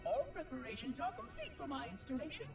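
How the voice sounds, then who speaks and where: A prim, verbose robot monitor from a video game, pitched high with wildly animated intonation. The delivery is formal and exact, like an official record, and it sounds distant with music playing underneath. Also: male voice, fast talker, vocal fry, exaggerated voice. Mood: wistful, solemn. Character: prim, talkative ancient robot monitor guarding an installation.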